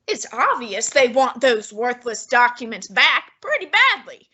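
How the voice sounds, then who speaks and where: A fussy, formal genius detective, played by a young woman who speaks slowly and exactingly in a high, bright, slightly husky voice. Old-time radio drama style. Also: very animated, exaggerated voice. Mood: reasoning. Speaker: student actor.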